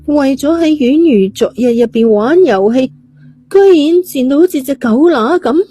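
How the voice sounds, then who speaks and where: A female narrator playing a hot-tempered young woman in a suspense audiobook. She speaks slangy Hong Kong Cantonese in bluntly exclamatory bursts, pitched well up, with a mellow edge and faint music behind. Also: expressive, normal speed. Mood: outraged disbelief.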